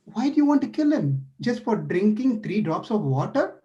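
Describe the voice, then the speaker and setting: A man's voice: high-pitched, very animated, moderate pace, warm tone, muffled recording, pitched above the performer's own voice. A man voicing a playful, caring male deity who eagerly questions a frightened sage in a devotional story. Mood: curious, eager. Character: playful caring divine lord, male, curious.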